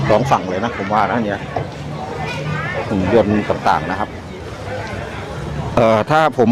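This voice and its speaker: A man giving casual, apologetic walking commentary as a vlogger, recorded outdoors in a busy street market. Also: on the low side. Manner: polite, apologetic. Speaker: vlogger hosting a market walk. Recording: noisy street, loud crowd and traffic.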